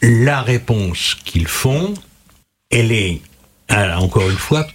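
A man's voice: expressive, slow, soft background music. A man on a talk show panel explaining a point in a didactic way, his voice jumping up for emphasis. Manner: didactic, pitch jumps up for emphasis. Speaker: panel expert.

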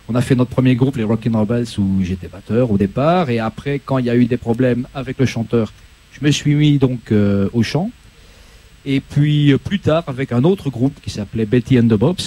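A man in his sixties, a singer-guitarist from Belgium raised in Corsica, answering interview questions in a relaxed, plain way. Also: medium pitch. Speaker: guest musician.

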